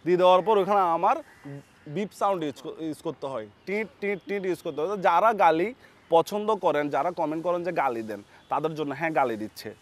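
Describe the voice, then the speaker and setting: A young man with a Chattogram accent, a comedy content creator answering casually as an interview guest. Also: on the high side. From Chattogram, Bangladesh.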